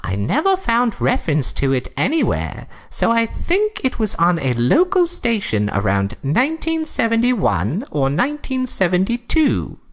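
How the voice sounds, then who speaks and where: Woman putting on a snooty, slow, swooping older lady. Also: medium pitch, wildly swooping pitch. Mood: theatrical reading. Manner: prim, theatrical, drawn-out delivery. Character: snooty older married woman, fussy, theatrical, condescending. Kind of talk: comic in-character story reading and bickering.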